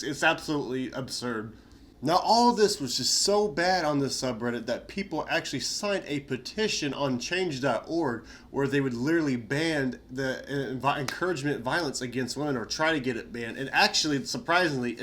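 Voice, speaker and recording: A man's voice: medium pitch, fairly bright tone. A young man with a stuffy, nasal voice from a cold, sounding tired and chatting casually in a rambling, slangy way with lots of fillers. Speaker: YouTuber. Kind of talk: casual commentary on reddit stories.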